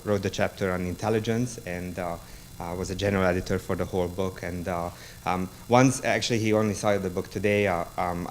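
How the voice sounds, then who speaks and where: A man's voice: low voice, a little mellow, a little hiss or hum. A man with a Hungarian accent, a university lecturer giving a public talk about a book, hesitant and full of ums and restarts. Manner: halting, many ums and restarts.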